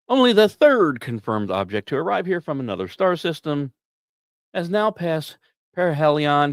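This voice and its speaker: A male science podcast host with an average-pitched voice reads semi-scripted commentary. He slips in wry asides and sometimes trips over or repeats a word. The sound is dry, with very little room in it. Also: clear, resonant tone, no room sound.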